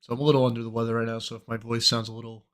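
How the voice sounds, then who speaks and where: A young man with a cold, slightly hoarse, chatting in a relaxed, rambling way as host of a trading livestream. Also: medium pitch, rapid-fire. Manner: relaxed, rambling, many fillers. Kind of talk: casual livestream chat.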